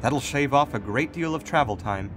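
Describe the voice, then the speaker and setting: A man playing a composed, calculating god in authority, speaking in a measured, formal, diplomatic way in a fantasy audio drama. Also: medium pitch, expressive, fairly bright tone, natural voice. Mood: authoritative, pragmatic. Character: Raphael, composed, calculating male god in authority, gently chiding.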